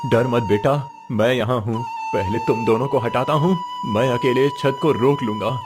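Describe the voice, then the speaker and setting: A man's voice: medium pitch, sing-song, moderate pace, lightly acted. Man as earnest protective cartoon father, music behind. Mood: protective, reassuring. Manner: earnest, solemn, dramatic. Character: poor, honest, protective father, resolute and tender. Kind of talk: cartoon story dialogue.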